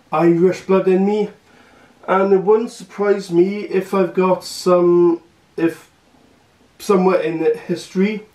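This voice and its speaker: A young man, talking to camera at home in a halting, earnest way full of fillers and restarts. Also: fairly high pitch, a little mellow. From Wales. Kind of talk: casual vlog speech to camera. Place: small room at home, indoors. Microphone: camera across the room. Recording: echoey room, distant camera mic.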